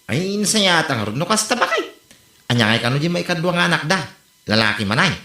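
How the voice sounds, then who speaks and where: A woman with an Ilocano Filipino accent acting a gullible, excitable husband in an amateur comedy audio drama. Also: low voice, brisk, heavily acted. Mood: wondering, wistful. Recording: roomy, echoing amateur podcast recording.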